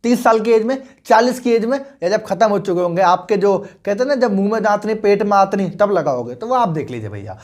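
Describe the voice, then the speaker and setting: A man's voice: sits high, lively intonation, fast, hardly any pauses, a little mellow. Persuasive male finance coach. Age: 20s. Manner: persuasive, mixes English into Hindi, asks the listener questions. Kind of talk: motivational finance lesson.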